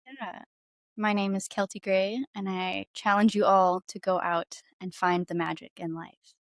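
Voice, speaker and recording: A woman talks casually as a guest in an interview, with plenty of creak in her voice. Her manner is informal and playful. The recording is dry and free of room sound. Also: medium pitch, fairly even intonation, measured pace, no room sound. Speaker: podcast guest, musician. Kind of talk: casual chat.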